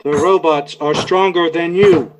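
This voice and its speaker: A man's voice: fairly high pitch, fairly even intonation, unhurried, very noisy, lightly acted. A man playing a cold, contemptuous robot in a radio drama, speaking in a curt, blunt and insistent way. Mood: stubborn, rebellious. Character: defiant male humanoid robot, cold, contemptuous, rebellious.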